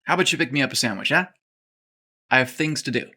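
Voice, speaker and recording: A flustered, eager young clerk behind a golf shop counter, put on by a male narrator. His bright voice leaps upward when he gets excited or nervous. He sounds like he is trying hard to stay calm. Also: quick pace, slightly rough, full character voice. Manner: flustered, excitable, voice jumping high.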